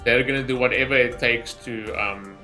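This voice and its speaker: A man's voice: medium pitch, steady tone, moderate pace, bright, forceful tone, soft background music, low-quality recording. A man reacting casually to a game story as a streamer, musing aloud with wry questions. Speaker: gaming streamer.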